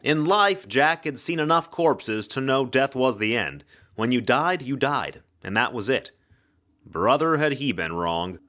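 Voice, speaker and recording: Animated male storyteller reading mystery fiction. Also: medium pitch, measured pace. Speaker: actor reading an audiobook-style story. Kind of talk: dramatic scripted narration of hard-boiled ghost mystery.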